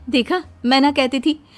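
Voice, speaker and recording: A woman voicing a meddling grown daughter in a moral story, needling and goading her mother insistently. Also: high voice. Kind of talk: Hindi moral story acting.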